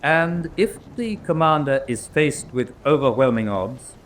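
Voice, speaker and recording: An actor playing a relentless, precise military prosecutor in a radio drama, questioning formally and pressing hard. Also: male voice, mid-range voice, expressive, a little slow, a little hiss or hum, lightly acted. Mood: formal, measured. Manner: formal, pressing cross-examination. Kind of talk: radio drama courtroom acting.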